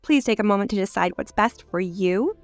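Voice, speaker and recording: A woman co-hosting a podcast in bubbly casual conversation, her voice slightly hoarse from illness. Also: medium pitch, lively intonation, a little fast, no room sound, soft background music. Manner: bubbly, enthusiastic storyteller. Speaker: podcast co-host. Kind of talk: casual chat.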